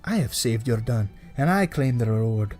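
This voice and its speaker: A man voicing a bold, indignant young hero of legend in a storybook, forceful and challenging. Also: mid-range voice, animated, moderate pace, soft background music, natural voice. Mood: insistent. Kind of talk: storybook reading.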